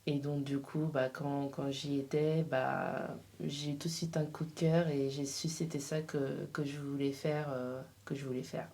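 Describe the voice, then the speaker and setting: Low, mellow, hesitant woman's voice. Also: level tone, normal speed, hardly any pauses. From Portugal. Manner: hesitant, searching for words. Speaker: interview guest, freelance embroiderer. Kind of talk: casual studio interview, answering questions.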